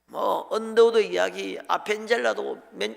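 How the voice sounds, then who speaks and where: A man's voice: high voice, a little slow, vocal fry. A man preaching a sermon as a pastor, telling a story in an emotive, emphatic way and breaking off phrases for weight. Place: large church sanctuary during a worship service. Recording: long hall reverb.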